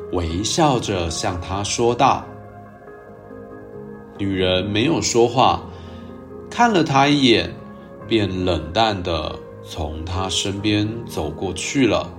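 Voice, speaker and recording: A man hosting a storytelling show, narrating for young children in a gentle, expressive way. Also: lowish voice, slow, over music. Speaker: children's storytelling podcast host.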